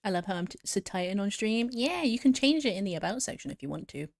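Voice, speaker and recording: A woman's voice: medium pitch, very animated, quick pace, dry recording, studio quality. A woman streamer chatting casually and giggling as she answers viewers while she draws. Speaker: VTuber streamer.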